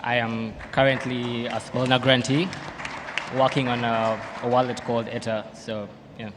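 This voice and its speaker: A man's voice: medium pitch, flat delivery, moderate pace. A man with a Ugandan accent briefly introducing himself as a developer on a conference panel. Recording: noisy echoey hall with crowd murmur.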